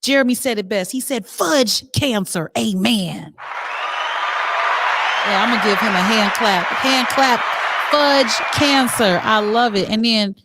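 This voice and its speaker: A woman's voice: on the high side, unhurried, over a noisy crowd, heavy background noise. A middle-aged woman hosting a live show in a casual, chatty way, talking directly to her viewers. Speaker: talk show host.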